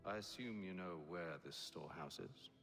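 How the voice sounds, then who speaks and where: A man playing a composed, dryly curt gentleman agent in a period video game drama, speaking formally and with reserve. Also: low voice, normal speed, over music. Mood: composed, measured. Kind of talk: video game cutscene acting.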